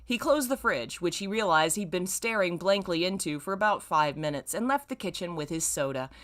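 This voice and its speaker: A woman's voice: on the low side, animated, fairly quick, a bit bright. A young adult woman hosting a read-aloud of fan fiction, delivered theatrically with playful, exasperated casual asides. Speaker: host reading old fan fiction aloud with commentary.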